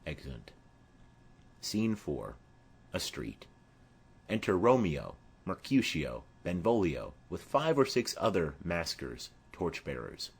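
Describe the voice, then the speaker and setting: Male narrator for a scripted play audiobook, low-pitched and slow in delivery. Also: big pitch swings, gravelly, breathy edge. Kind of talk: scripted reading of a play with stage directions.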